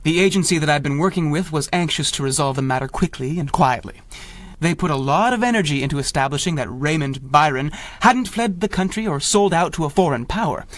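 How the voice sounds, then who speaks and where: An actor playing a proud, skeptical young inventor and adventurer in a 1930s radio drama, speaking formally and with self-importance. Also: male voice, on the high side, animated, a little fast, no room sound, natural voice. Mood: grave.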